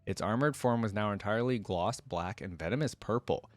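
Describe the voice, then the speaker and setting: A man's voice: on the low side, fairly quick, dry recording. A man hosting a reaction video, reading a fantasy story aloud and adding casual fan commentary.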